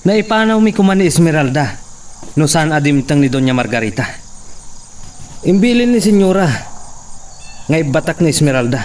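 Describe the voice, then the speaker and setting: Casting: male actor as bickering hired man, lightly high voice, a little far from the mic with faint noise. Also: big pitch swings, normal speed, pauses often, darkish tone. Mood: grumbling, worried. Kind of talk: Ilocano radio-style audio drama acting.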